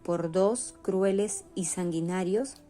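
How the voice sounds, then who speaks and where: A woman's voice: on the low side, takes it slowly, mellow. A woman reading scripted narration for a radio novel. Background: faint music.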